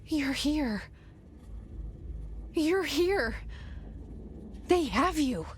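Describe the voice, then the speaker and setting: An actress playing a determined young woman, intense and urgent, sometimes dropping into hushed whispers. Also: high-pitched, animated, extremely slow, background noise, exaggerated voice. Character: determined young woman searching for her lost brother. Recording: loud music behind.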